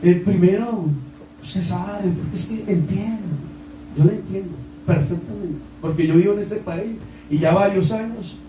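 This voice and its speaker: A man's voice: high-pitched, measured pace, warm tone, roomy sound, far from the mic, a little hiss or hum, low-quality recording. A man with a Colombian accent preaching a sermon to his congregation, impassioned and calling out to the people in front of him. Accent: Colombian Spanish. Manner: impassioned, exclaiming, direct address to the congregation. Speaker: pastor. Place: church hall.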